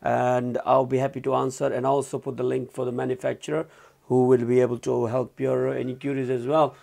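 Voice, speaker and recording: A man's voice: medium pitch, level tone, a little fast, gravelly, mellow. A man presenting a casual product review, talking informally in loose phrases and often repeating himself. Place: indoors, small room at a desk.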